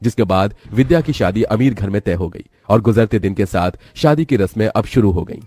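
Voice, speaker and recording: Guy narrating a story, lowish dark mellow voice, dry recording. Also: lively intonation, a little fast, dry recording.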